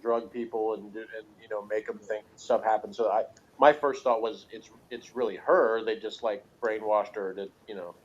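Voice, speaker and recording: A man's voice: mid-range voice, darkish tone, lo-fi sound. A man co-hosting a review show in casual, joking chat with a teasing manner. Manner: teasing, jokey.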